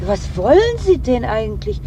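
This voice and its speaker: A woman playing an indignant, agitated businesswoman who protests excitedly in a German radio drama. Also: fairly high pitch, moderate pace. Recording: clear street and car noise behind.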